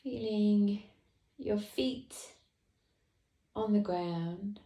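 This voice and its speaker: A woman teacher leading a guided meditation, speaking softly and calmly with long pauses. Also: mid-range voice, echoey room. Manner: slow, soft, calm guidance with long pauses.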